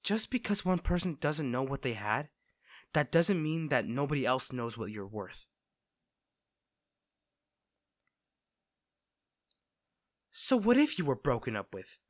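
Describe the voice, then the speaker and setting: Male actor for devoted best friend in audio roleplay; caring, teasing, conversational, lively intonation, dry sound. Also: medium pitch, moderate pace, no room sound, natural voice. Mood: protective, frustrated. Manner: fussing, tender, conversational. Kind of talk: soft-spoken scripted roleplay audio drama.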